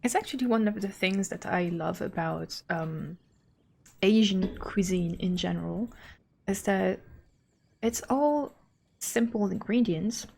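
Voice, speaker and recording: A woman's voice: mid-range voice, measured pace, creaky, darkish tone, slight background noise. A woman from Europe streaming a game, talking casually as she comments on what she plays. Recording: game music behind the voice. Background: game music.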